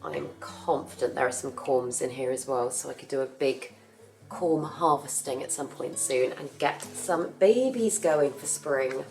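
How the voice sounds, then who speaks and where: A young woman with a husky voice from a cough, chatting casually about her plants as she works. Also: extremely low pitch, unhurried, a little mellow, soft background music. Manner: chatty, rambling, self-correcting. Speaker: plant care vlogger. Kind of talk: casual chat while working.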